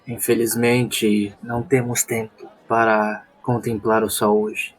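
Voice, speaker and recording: A man playing a serene, caring adventurer in a tabletop game, with measured, formal speech. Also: fairly low pitch, flat delivery, slightly rough, no room sound, poor audio. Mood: calm, curious. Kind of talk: tabletop roleplay acting. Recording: music playing behind.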